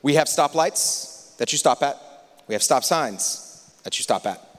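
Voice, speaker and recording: A young adult man from the United States preaching a sermon, moving between loud, lively passages and hushed, confiding ones. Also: mid-range voice, measured pace. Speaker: pastor. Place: large church hall. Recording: church hall reverb.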